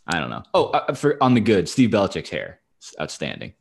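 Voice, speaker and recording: A man with a fairly low voice hosts a casual sports talk show. He chats in a wry, dry way and swears freely as he gives his commentary. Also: huge pitch swings, brisk, gravelly, slightly rough. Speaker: sports podcast host.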